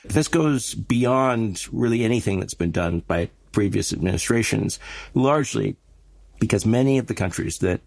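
Gravelly-voiced male reporter explaining. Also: on the low side, lively intonation, normal speed, darkish tone, no echo. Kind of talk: conversational news podcast explanation.

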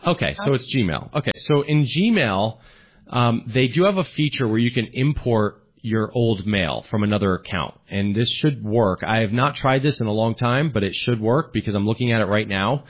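A man's voice: mid-range voice, animated, normal speed, husky. Man, radio tech host, chatty, step-by-step explainer, muffled broadcast audio. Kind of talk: call-in tech advice.

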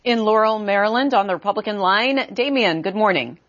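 A woman hosting a call-in talk show, briskly introducing callers and putting questions to her guest. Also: mid-range voice, a bit bright, muffled recording. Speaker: host.

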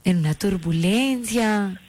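A woman's voice: medium pitch, slow, a little mellow. A woman with a Colombian paisa accent, calling on a landline and coyly making a man guess who she is. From Antioquia, Colombia. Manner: coy, insistent, keeps her identity a mystery. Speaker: caller. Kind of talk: teasing phone call. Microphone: landline phone.

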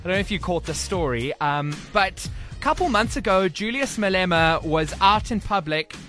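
Male radio host, bright animated delivery, casual banter. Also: high voice, moderate pace, faint music behind. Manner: laughing, chatty, hesitant with ums.

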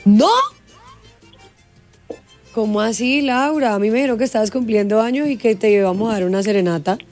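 Female Colombian radio co-host, fairly high voice, blunt teasing chat, music underneath. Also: fairly quick, darkish tone. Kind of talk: casual chat, live radio banter.

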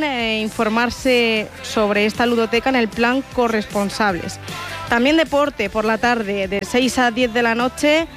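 A woman radio presenter reading out the local festival schedule in a steady, informative way. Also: on the high side. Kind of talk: news announcements.

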